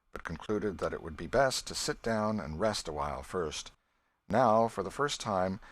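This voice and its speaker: A man reading a literary story aloud as an audiobook narrator, in a scripted style. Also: on the low side.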